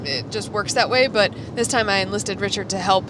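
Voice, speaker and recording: A woman's voice: mid-range voice, brisk. A woman co-hosting a podcast in casual, enthusiastic chat, recorded inside a car as she drives. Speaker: podcast co-host. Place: inside a moving car, while driving. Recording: clear car road noise behind voice. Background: vehicle and road noise.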